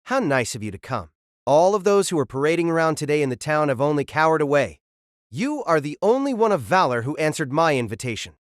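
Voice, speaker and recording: A man's voice: on the high side, very animated, brisk, dry recording, clean studio sound. A man playing a courteous, valiant spearman spirit in an audio drama, speaking in a formal, cool manner. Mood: cheerful, praising.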